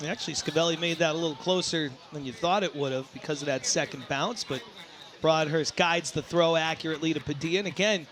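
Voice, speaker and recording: A man calling a baseball game live as play-by-play announcer, excitable and given to shouting on big plays. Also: on the high side, fast talker, slight background noise. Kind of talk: live sports commentary. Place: outdoor baseball ballpark.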